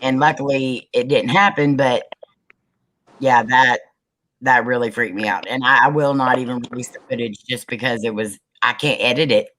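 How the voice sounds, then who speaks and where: A woman with a low voice, a paranormal investigator, telling a story on a group video call with many ums and restarts. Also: steady tone, moderate pace, a bit bright, poor audio. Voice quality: low, manly-sounding voice. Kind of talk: casual group video chat.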